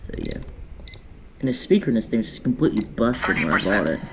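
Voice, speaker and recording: A man gives an offhand, casual show-and-tell in a room at home. He rambles along informally from item to item. The amateur recording has clear background noise and small clicks. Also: on the low side, very animated, fast. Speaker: radio collector showing his collection. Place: indoors, room at home with radios.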